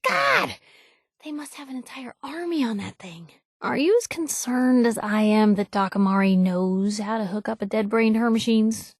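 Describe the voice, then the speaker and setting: An actress playing a feisty, sarcastic young woman reporter in a video game, with a brash, conversational and expressive delivery. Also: medium pitch, no echo, clean studio sound, natural voice. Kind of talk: video game companion voice lines.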